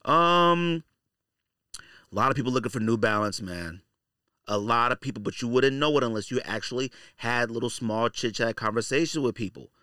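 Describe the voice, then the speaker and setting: A middle-aged man hosts a casual podcast with a bright, forceful voice and very lively pitch. He rants in slangy, opinionated bursts and hammers points by repeating them. The sound is dry and close. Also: moderate pace, clear, resonant tone, no room sound. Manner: animated ranting, slangy, repeats points for emphasis. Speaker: podcast host.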